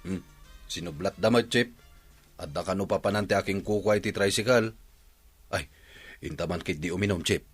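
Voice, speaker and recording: A man's voice: low voice, moderate pace, long pauses, husky, soft background music. An actor playing an easygoing man who goads his drinking buddy, in an Ilocano radio drama. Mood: coaxing.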